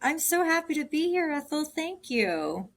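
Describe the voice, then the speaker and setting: Earnest woman, unscripted and halting. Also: sits high, big pitch swings. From Cambodia. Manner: warm, earnest, thinking aloud with many ums and restarts. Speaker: guest, agency director. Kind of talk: casual interview answers.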